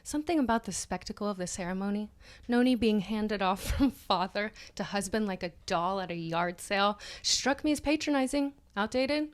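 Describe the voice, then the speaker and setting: A woman's voice: mid-range voice, lively intonation, normal speed. A woman co-hosting a podcast, giving a mocking dramatic reading of an article with casual asides, prone to laughing as she reads. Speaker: podcast co-host.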